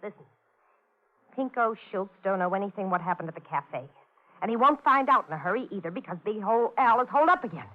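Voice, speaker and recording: An actress playing a slangy, persuasive American gangster's moll in an old-time radio crime comedy. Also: female voice, mid-range voice, animated, quick pace, lightly acted. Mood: scheming. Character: scheming, coaxing gangster's moll sweet on her fella. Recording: muffled old radio recording.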